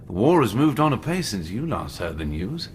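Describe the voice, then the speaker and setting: An actor playing a shrewd, manipulative British army major in a radio drama, with an English accent and a measured, understated delivery. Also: male voice, medium pitch, big pitch swings, a little hiss or hum, natural voice. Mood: coolly persuasive.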